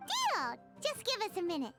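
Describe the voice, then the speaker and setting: A woman voicing a tiny, girlish floating companion who talks in bubbly, excitable bursts, with game music behind. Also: extremely high pitch, exaggerated voice. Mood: cheerful. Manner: bubbly, quick exclamations.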